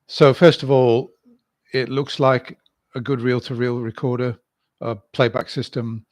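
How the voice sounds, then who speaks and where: A British man giving an opinionated, informal monologue in a deliberate, argumentative way, mulling points over aloud. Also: mid-range voice, no room sound, studio quality. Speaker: host of a hi-fi and records channel.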